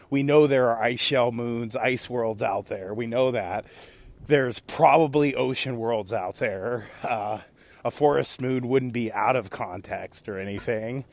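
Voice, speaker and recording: Laid-back gravelly male caller. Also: mid-range voice, a little mellow. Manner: laid-back, enthusiastic slang. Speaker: caller, fellow podcaster. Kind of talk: voicemail message.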